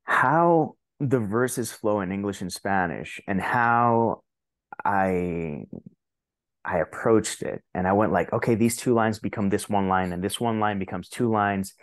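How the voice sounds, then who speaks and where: A man's voice: fairly low pitch, unhurried, no echo. A man from Puerto Rico with a Puerto Rican accent, telling stories animatedly as a guest on a podcast interview. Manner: animated storytelling, frequent restarts. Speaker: guest, translator and author. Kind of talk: conversational interview.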